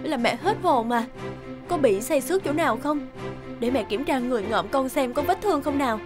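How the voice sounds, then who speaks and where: Cartoon shop mom, high voice, scolds and fusses but caring, music behind. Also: female voice, a little fast. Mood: worried, grateful. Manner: expressive, scolding or fussing. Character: sharp-tongued but caring young mother minding a repair shop. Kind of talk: animated cartoon dubbing.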